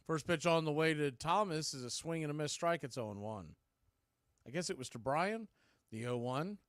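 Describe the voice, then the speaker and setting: Male play-by-play baseball announcer. Crisp, slightly high voice, very dry sound, clipped live calls. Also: fairly bright tone, no room sound. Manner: clipped phrases, calls rising on the action. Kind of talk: live play-by-play commentary on a stream.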